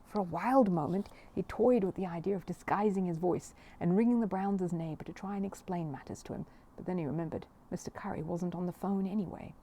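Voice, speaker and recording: A woman reading a children's story aloud in an expressive narrating style. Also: lowish voice, brisk, few pauses. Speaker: storybook reader.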